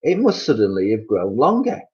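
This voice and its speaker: A man voicing a frightened old woman who cries out in a high, shrill voice, from a storybook reading. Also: wildly swooping pitch, normal speed, darkish tone, dull audio. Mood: puzzled. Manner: crying out in alarm.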